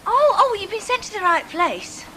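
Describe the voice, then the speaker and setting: A woman's voice: very high-pitched, sing-song, moderate pace, background noise. A chatty landlady. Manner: chatty, homely, colloquial. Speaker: woman posing as a landlady.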